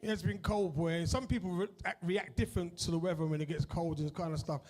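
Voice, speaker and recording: A middle-aged man with a broad East London accent works a live comedy crowd in a high, bright-edged voice. He rambles in a chatty way, swears freely and tags his lines with "innit" and "right". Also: steady tone, fast talker. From East London, England. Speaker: stand-up comedian. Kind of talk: stand-up comedy set with crowd work. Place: comedy club with a live audience.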